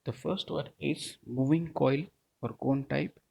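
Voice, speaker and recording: A man's voice: mid-range voice, steady tone, a little slow, vocal fry, mellow, breathy edge, dry recording. A man giving a technical lecture in a didactic way, often punctuating his points with short check-in words. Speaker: teacher, lecturer.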